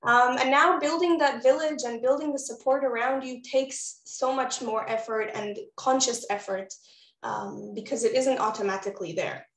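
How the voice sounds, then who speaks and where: A woman's voice: a little high, steady tone, moderate pace, reverberant, low-quality recording. A woman from Israel, a postpartum doula, giving a webinar presentation in an encouraging way that speaks straight to the audience over a video call. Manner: warm, encouraging, addresses audience directly.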